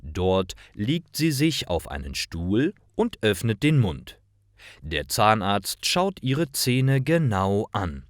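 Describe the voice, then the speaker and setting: A man narrating simple scripted stories for language learners, reading carefully in clearly phrased short sentences. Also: on the low side, sing-song, slow pace, no room sound, studio quality.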